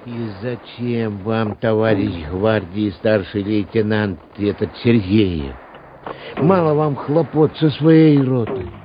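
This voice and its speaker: A man's voice: lowish voice, slow pace. An actor playing a plain-spoken army orderly who questions his officer in a radio play. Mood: puzzled, reproachful. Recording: dull radio audio, faint battle noise.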